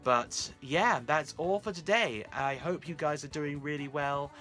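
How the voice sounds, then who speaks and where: Bright-voiced man chatting to viewers over music. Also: on the high side, measured pace. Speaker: host. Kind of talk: casual vlog talk to viewers.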